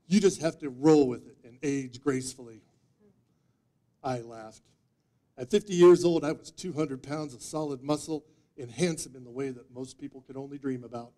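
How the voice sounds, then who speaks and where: A man in his fifties giving a humorous storytelling speech, with theatrical deadpan delivery and dramatic pauses. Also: mid-range voice. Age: 50s. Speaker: speech contest speaker. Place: meeting room before a live audience, indoors. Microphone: distant audience camera microphone.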